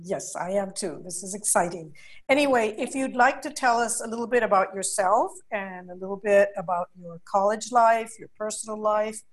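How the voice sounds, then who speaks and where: Woman, educational consultant, interviewer, medium pitch, friendly, chatty, many fillers. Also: moderate pace. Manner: friendly and chatty, leans on fillers like "you know" and "uh". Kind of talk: conversational interview.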